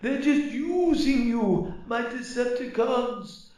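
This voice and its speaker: Super high robot rebel, defiant and drawn out, very echoey, audio drama. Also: male voice, darkish tone. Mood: defiant, desperate. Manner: crying out slowly, declamatory. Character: shrieking, zealous male robot rebel leader, defiant agitator. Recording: echoey, distant from the mic.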